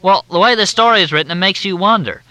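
A man's voice: high-pitched, animated, quick pace, pushed and bright, dry recording, pitched above the performer's own voice, rough recording. A man voicing a courteous Southern army major with a thick drawl that makes everything sound like a question. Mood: folksy, chatty.

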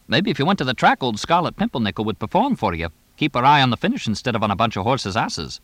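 A man voicing a fast-talking English horse trainer in an audiobook, drily blunt, chatty and deadpan in a clipped way. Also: medium pitch, no room sound, voice pushed up. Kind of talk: audiobook character dialogue.

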